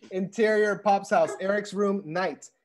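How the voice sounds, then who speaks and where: A man's voice: sits high, steady tone, slow. A man in his thirties reading stage directions and chatting casually on a video call through earbuds. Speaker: host and stage-direction reader. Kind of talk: table read narration and casual chat. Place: indoor room on a video call. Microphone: wired earbuds on a video call.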